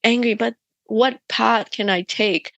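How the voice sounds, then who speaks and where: A woman's voice: on the high side, slow pace, gravelly, no echo. A young adult woman from Myanmar with an Australian accent, telling stories as a guest in a casual interview. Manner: animated storytelling, laughing often.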